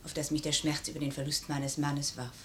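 An actress playing a dignified, grieving officer's widow, formal and solemn, in an old German radio play. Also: female voice, low-pitched, little pitch movement, brisk, fairly bright tone. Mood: sorrowful, dignified. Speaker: radio drama actor. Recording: noisy old radio recording.

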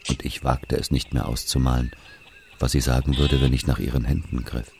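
A man's voice: rumbling low, level tone, fairly quick, gravelly, darkish tone, slight background noise. A man playing a wistful Algerian narrator recalling love and loss in a literary audio drama. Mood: tender, wistful. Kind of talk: dramatic first-person narration.

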